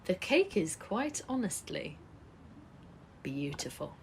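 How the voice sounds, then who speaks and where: Actress as a troubled woman, swooping, halting delivery. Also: fairly low pitch, very animated, creaky, a little mellow, a little hiss or hum, heavily acted. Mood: evasive, brittle. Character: troubled woman resisting thoughts of her ex. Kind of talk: audio drama acting, poetic dialogue.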